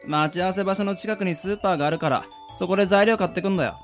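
A man's voice: high voice, very fast, faint music behind, lightly acted. A man acting a breezy, mischievous young prankster, teasing and nonchalant, in an amateur radio drama. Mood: breezy, sly.

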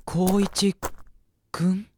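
A man voicing the gentle, cautious ghost of a sixteen-year-old boy in a radio drama, earnest and reassuring. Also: high voice, flat delivery, very slow, slight background noise. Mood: tender recognition. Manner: soft-spoken, earnest, reassuring.

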